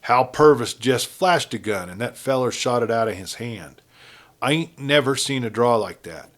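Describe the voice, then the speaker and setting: A man's voice: mid-range voice, expressive, moderate pace, fairly bright tone, natural voice. Guy reading as a ruthless outlaw leader, western story, folksy drawl, blunt talker. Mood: uneasy, menacing. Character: ruthless outlaw gang leader in the old West, male.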